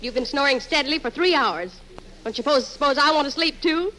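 A woman's voice: high-pitched, animated, fairly quick, sharp tone. An actress playing a chatty, fussy, nagging wife in a 1940s radio comedy, blithely needling her husband. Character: chatty, nagging wife, blithely self-absorbed and penny-minded. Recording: noisy old radio recording, studio audience.

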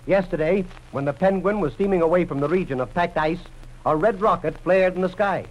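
High-pitched man announcing a radio serial. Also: fairly quick, highs cut off. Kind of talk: dramatic scripted old-time radio narration.

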